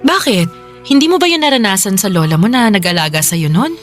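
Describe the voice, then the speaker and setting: A woman playing a warm, protective motherly figure in a radio drama, affectionate and gently scolding. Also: medium pitch, expressive, a little fast, soft background music, close to an everyday voice. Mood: reassuring. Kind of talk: Tagalog radio drama dialogue.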